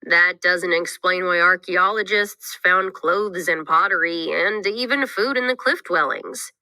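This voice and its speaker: A woman's voice: on the low side, unhurried, sharp tone, no echo, pitched below the performer's own voice, full character voice. A woman voicing a skeptical, inquisitive young boy in a scripted read-aloud for children, questioning everything with emphatic doubt.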